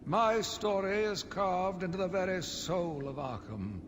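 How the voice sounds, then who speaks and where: An actor playing an embittered, zealous asylum doctor who gives a solemn, theatrical monologue for a video game audio log. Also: male voice, sits high, sing-song. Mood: solemn, brooding. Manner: solemn, measured, brooding, theatrical. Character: embittered male asylum doctor, grief-stricken, zealous, slowly turning vengeful. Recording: long reverberant echo, loud background noise.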